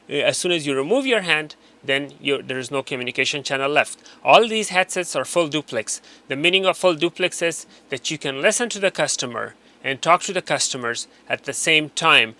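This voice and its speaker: A man's voice: a little high, pushed and bright. A man giving an informal, unscripted product walkthrough as an instructor, often repeating and rephrasing to explain.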